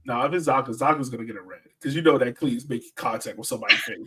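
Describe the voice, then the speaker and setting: A man's voice: mid-range voice, rapid-fire, pushed and bright. A man hosting a sports podcast in casual, joking chat.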